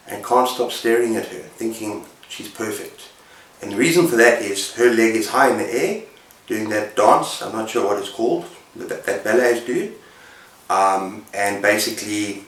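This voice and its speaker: A man casually retelling stories as a book reviewer, with dramatic pauses and an emphatic delivery. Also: on the low side. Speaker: book reviewer on a video channel. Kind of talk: casual story retelling to camera. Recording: roomy echo, distant microphone.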